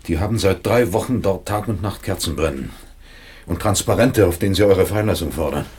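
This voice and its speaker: An actor playing a shaken, subdued father who speaks haltingly in a radio drama, his voice husky and breathy. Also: deep voice, a little mellow.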